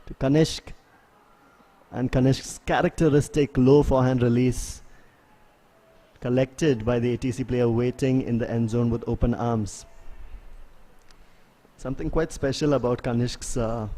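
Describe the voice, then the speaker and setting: A man giving live play-by-play sports commentary in a measured, analytical way. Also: medium pitch, steady tone, mellow. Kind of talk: live play-by-play commentary of an ultimate frisbee match.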